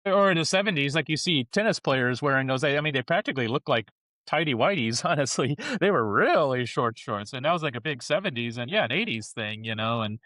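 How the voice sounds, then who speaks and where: A man of mid pitch talks in a loose, rambling way, full of fillers and small chuckles. His voice sits dry and close, with no room echo at all. He sounds like a podcast co-host in casual discussion. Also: animated, a little fast, sharp tone.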